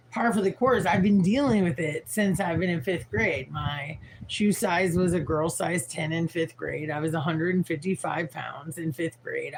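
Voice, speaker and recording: A tired woman in her forties with a raspy voice, rambling frankly and swearing as she chats on a livestream. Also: on the low side, slight background noise, poor audio. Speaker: livestream host. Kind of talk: casual livestream chat.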